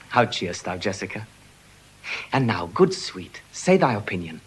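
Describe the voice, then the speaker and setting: An actor playing an urbane young husband, wry and affectionate, in classical Shakespearean style. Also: male voice, fairly low pitch, sing-song, slow, slight background noise, poor audio. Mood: amused, affectionate. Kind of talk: classical Shakespearean stage acting.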